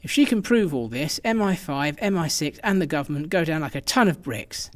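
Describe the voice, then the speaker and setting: An actor plays a ruthless male intelligence chief in a radio drama. His voice sits a little high for a man, and he stonewalls with brusque, dismissive confidence. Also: lively intonation, brisk, natural voice. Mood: stern, urgent.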